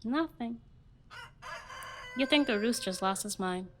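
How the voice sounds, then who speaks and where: A woman's voice: on the high side, expressive, a little slow, a little hiss or hum. A young woman playing a wry, restless newlywed wife in an audio play, with a dry, detached manner. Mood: guarded, restless. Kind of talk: stage play audio reading.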